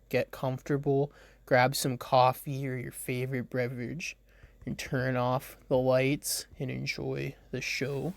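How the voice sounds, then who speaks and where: A man hosting a true crime podcast, reading a script haltingly, stumbling over names and adding blunt, swearing asides. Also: mid-range voice, vocal fry.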